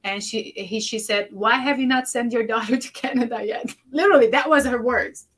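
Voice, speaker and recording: A woman from Lebanon hosts the conversation with a somewhat high voice. She chats casually, answering often with short affirmations and small laughs. Her stories move in a loose, conversational way. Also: fairly quick, fairly bright tone. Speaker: podcast host.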